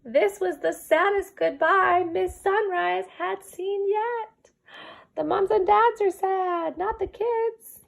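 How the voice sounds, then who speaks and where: A woman's voice: extremely high pitch, fairly even intonation, slow, vocal fry. A woman who teaches kindergarten reads a children's picture book aloud expressively, adding chatty asides to young listeners.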